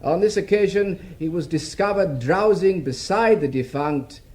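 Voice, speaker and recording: An actor playing a fussy court official in a radio drama, reading evidence in a formal, prim and hesitant manner. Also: male voice, high-pitched, unhurried, crowd noise. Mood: flustered, halting.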